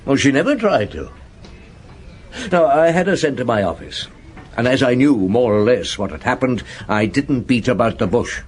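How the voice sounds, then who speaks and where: An actor playing a shrewd, measured police chief inspector in a radio drama, recounting his case and questioning people. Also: medium pitch, very animated, lightly acted. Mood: matter-of-fact. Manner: measured, probing, hesitant hums and questions. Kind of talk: radio drama acting, narrating and interrogating.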